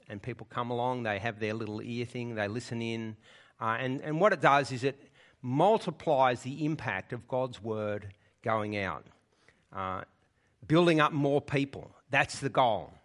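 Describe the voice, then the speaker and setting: A man in the pulpit preaching to his congregation, his voice sitting at an ordinary middle pitch. He explains a passage in an easy, conversational way. He keeps checking in with the people listening as he goes. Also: a bit bright. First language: English. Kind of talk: sermon. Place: church.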